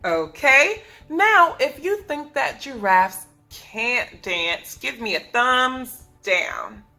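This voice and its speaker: A woman teaching preschoolers, reading a picture book aloud in an instructive way, speaking clearly to children and asking them questions. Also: high voice, sing-song, drawn-out words, pushed and bright, soft background music. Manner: instructive, asks the listeners questions, enunciates for children. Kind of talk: read-aloud lesson for young children.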